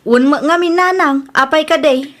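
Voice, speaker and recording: A woman's voice: high voice, normal speed, a bit bright. A woman with an Ilocano accent playing a gossipy, prying in-law in a family audio drama. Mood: nosy.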